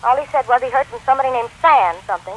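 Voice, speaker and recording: A woman's voice: high voice, rapid-fire, poor audio. A woman playing a tart, headstrong sweetheart in a 1940s radio drama, snappy and exasperated. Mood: brisk. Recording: hissy old 1940s radio recording.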